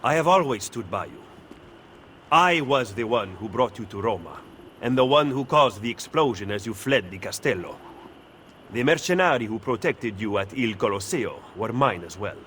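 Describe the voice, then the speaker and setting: A formal, measured Italian conspirator played by a man in a dramatic video game. The voice sits at a medium pitch with an Italian accent, very echoey with a long reverberant tail. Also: lively intonation, fairly bright tone, close to an everyday voice. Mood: measured, firm. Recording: echoey hall, faint ambient noise.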